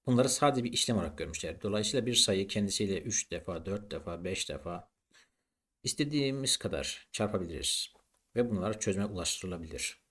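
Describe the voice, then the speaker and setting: A man's voice: on the low side, lively intonation, brisk, fairly bright tone, husky. A man teaching a maths lesson in an explanatory, didactic way, posing questions and then answering them.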